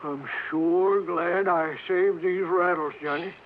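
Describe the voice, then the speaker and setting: An actor playing a folksy, excitable old ranch hand in a 1950s radio drama. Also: male voice, high voice, slow. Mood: folksy, pleased. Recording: tinny, noisy old radio broadcast.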